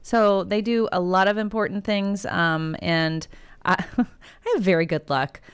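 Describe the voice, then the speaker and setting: A woman's voice: mid-range voice, fairly even intonation, a little slow, clean tone. A woman co-hosting a morning radio show, chatting casually, laughing often and reading out the day's national days in a studio. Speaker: radio co-host.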